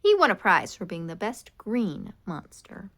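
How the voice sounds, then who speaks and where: A woman reads a children's picture book aloud, expressive and animated. She takes her time, letting her voice rise and fall widely. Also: medium pitch, measured pace. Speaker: storybook reader. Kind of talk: children's read-aloud narration.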